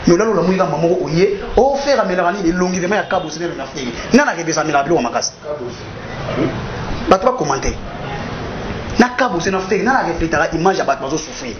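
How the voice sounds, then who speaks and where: A man's voice: on the high side, animated, fast talker, over a noisy crowd. A young man with a Congolese accent, arguing emphatically and repetitively in a casual interview. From Democratic Republic of the Congo. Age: young adult. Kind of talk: animated casual debate. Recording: dull, roomy sound, voices around.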